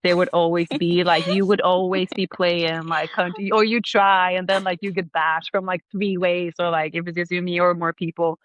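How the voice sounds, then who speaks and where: A young Swedish woman with a slightly low voice talks in a loose, rambling way, full of "like" and casual swearing. She is heard over a remote call, and the sound is dry and a little dull and lo-fi. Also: level tone, normal speed, few pauses, no echo. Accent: Swedish, from the south of Sweden. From Sweden. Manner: chatty, fills every sentence with "like", swears freely. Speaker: podcast guest. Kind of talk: casual chat.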